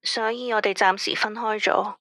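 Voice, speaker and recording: An actress playing an anxious radio caller who speaks Cantonese with a Hong Kong accent in a tense, weary, complaining way. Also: female voice, medium pitch, steady tone, normal speed, bright, forceful tone, no echo, close to an everyday voice. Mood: uneasy. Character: female caller, anxious about a controlling ex-husband. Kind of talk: radio drama acting.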